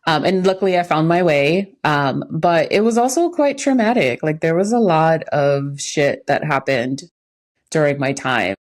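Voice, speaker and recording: An American woman with a low-pitched voice, chatting as a podcast co-host. She speaks candidly and reflectively, in an informal conversational style. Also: a little slow. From United States, lived in New York when young. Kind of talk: casual chat.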